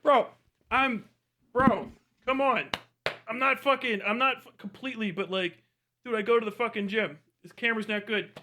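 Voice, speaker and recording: High-pitched man, casual profane streamer. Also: moderate pace, pushed and bright, a little hiss or hum. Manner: incredulous, mocking, heavy swearing and slang. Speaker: livestream host reacting to a video. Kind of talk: casual profane reaction commentary.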